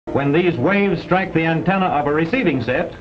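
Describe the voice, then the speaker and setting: A man's voice: expressive, normal speed. A man reads scripted narration for an old educational film in a formal, precise, didactic way, on a vintage film soundtrack. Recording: dull vintage film soundtrack with rumbling noise.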